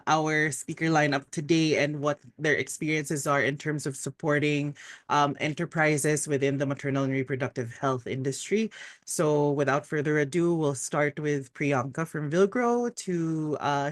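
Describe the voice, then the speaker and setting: A woman's voice: sits low, dry recording. Woman moderating an online panel, cheery and casual, dry audio. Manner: upbeat, casual fillers. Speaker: webinar moderator. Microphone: video call.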